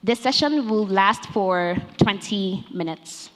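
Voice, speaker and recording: A woman's voice: medium pitch, takes it slowly. Lady hosting, announcing the next panel in a big reverby hall. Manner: formal, announcing. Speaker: event host. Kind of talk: stage announcement. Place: large conference hall. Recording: echoey hall, long reverb.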